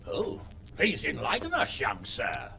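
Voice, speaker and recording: An actor playing a stern, sceptical older noble uncle in a game, gravelly and commanding. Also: male voice, medium pitch, huge pitch swings, a little slow, sharp tone, slight background noise, heavily acted. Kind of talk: video game cutscene acting.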